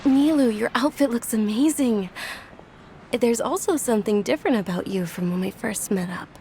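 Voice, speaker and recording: A woman's voice: on the high side, lively intonation, normal speed, darkish tone, a little hiss or hum. A woman playing a kind, genteel young noblewoman in a video game, polite and encouraging. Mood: admiring. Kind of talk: video game cutscene acting.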